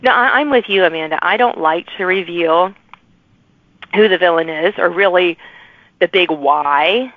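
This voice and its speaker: A woman's voice: lowish voice, unhurried, no room sound. Dull-sounding chatty woman podcast host. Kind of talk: casual interview chat. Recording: dull, lo-fi remote audio.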